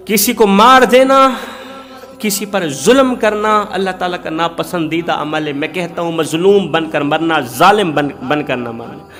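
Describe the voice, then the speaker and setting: A male preacher delivers a sermon woven with moral storytelling. His voice sits high and carries a long hall reverb, and he stresses points through emphatic repeated phrases. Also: fairly bright tone. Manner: emphatic, rhetorical repetition.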